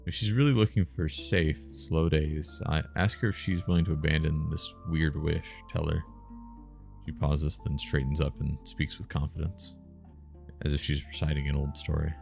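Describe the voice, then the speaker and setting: Extremely deep, gravelly-voiced male streamer. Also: steady tone, moderate pace, darkish tone, faint music behind. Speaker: streamer reading a visual novel aloud. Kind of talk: casual chat and live story reading.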